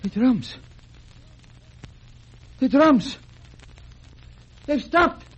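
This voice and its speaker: A man's voice: very high voice, lively intonation, very slow, slightly rough, full character voice. An actor playing a panicked male prisoner facing execution, in old radio drama. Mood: terrified. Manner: frantic, cries out in terror. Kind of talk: 1930s radio acting. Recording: muffled old radio recording.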